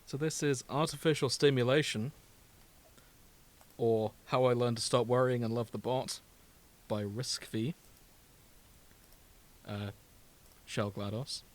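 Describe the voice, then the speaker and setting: A man's voice: mid-range voice, fairly even intonation, takes it slowly, pauses often. A British man chatting casually as a podcast co-host, with a jokey, bantering manner. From Britain. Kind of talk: casual comedic chat.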